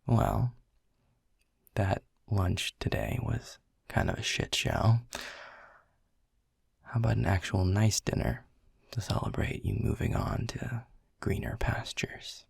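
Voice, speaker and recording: A world-weary, grumpy middle manager, played by a man in an audio roleplay, speaking low and unhurried in a breathy half-whisper. His gravelly, sardonic voice teases and curses lightly, dry and close. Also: no echo, heavily acted. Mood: wry, teasing. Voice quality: hushed, breathy. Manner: sardonic, teasing, swears casually. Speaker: boss.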